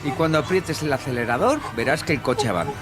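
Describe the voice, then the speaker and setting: An actor with a Castilian accent playing a calm, manipulative young killer in a radio drama, coaxing and falsely reassuring. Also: male voice, medium pitch, fast, natural voice. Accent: Castilian Spanish. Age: young adult. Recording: music bed under the voice.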